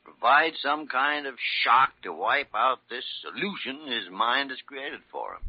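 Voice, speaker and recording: Senior radio-play physician: older, brusque, shrewd, very animated, dull old broadcast sound. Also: male voice, mid-range voice, a little slow, breathy edge, no echo, heavily acted. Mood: shrewd, pondering. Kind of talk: old-time radio drama acting.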